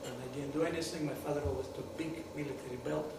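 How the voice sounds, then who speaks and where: Guy talking to a crowd, bit high voice, far off in an echoey hall, noisy. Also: fairly even intonation, quick pace. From Soviet Union, grew up in Kyiv. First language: Russian. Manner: joking, laughing, broken English. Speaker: guest speaker at a benefit. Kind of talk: informal talk to an audience. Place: lit stage in an arts center room.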